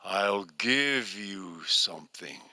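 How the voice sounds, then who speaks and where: An older man as a calm, solemn sky god. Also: deep voice, drawn-out words, dry recording, pitched below the performer's own voice, exaggerated voice.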